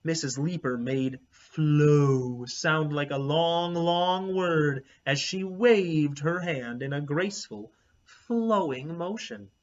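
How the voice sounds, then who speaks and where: A man reading a children's storybook aloud expressively, pausing to explain things to his listeners. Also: a little high. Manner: animated, explanatory. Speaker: read-aloud narrator, teacher. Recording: dull, lo-fi recording.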